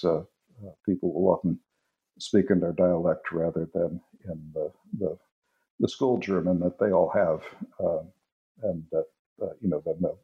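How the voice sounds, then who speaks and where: An older man, an author being interviewed, answering thoughtfully with frequent pauses and fillers. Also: sits low, highs cut off. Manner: thoughtful, hesitant with many fillers. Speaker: author and musicologist guest.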